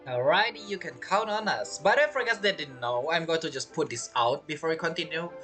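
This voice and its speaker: A man's voice: a little high, expressive, a little fast, bright, forceful tone, faint music behind. A man giving casual playthrough commentary as a gaming streamer, chatty and musing aloud.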